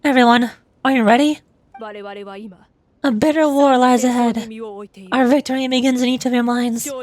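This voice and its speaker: A woman playing an earnest, resolute female leader in a video game, speaking in a measured, commanding way. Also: sits high, fairly even intonation, darkish tone, lightly acted. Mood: rallying, resolute. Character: resolute, grieving female leader rallying her warriors. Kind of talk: dramatic video game dialogue.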